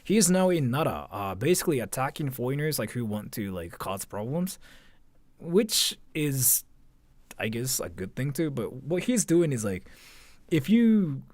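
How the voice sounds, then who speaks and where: Male streamer, very lively pitch, dry close sound, informal slangy chat, questions to viewers. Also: unhurried, no room sound. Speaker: live streamer. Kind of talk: casual livestream chat, commenting and answering viewers.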